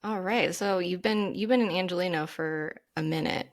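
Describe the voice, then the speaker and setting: A woman hosting a podcast interview and reading out an astrology chart, who talks in an enthusiastic, drawn-out way. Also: fairly low pitch. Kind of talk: casual interview chat.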